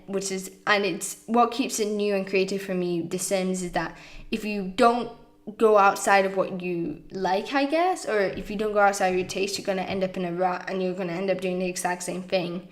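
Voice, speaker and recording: A woman's voice: medium pitch, steady tone, moderate pace. Rambling woman losing her voice. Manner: rambling, lots of "like" and restarts. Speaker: YouTube game builder. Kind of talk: casual voiceover chat over gameplay.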